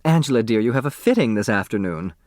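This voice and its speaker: A man's voice: mid-range voice, animated, fast, darkish tone, no room sound, studio quality, natural voice. A man voicing a pretentious, managing mother who fancies herself a decorator, in an audiobook. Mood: sweetly bossy. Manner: affected, managing. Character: pretentious society mother, a self-styled decorator.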